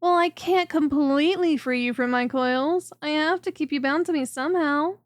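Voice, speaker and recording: A woman voices a snake-bodied monster girl who works as a chiropractor in an ASMR audio roleplay. The voice is high and very dry, close to the listener with no room sound. The delivery is soft and attentive, clingy and coaxing, with a teasing streak. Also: moderate pace, no room sound, natural voice. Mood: affectionate, teasing. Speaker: voice performer.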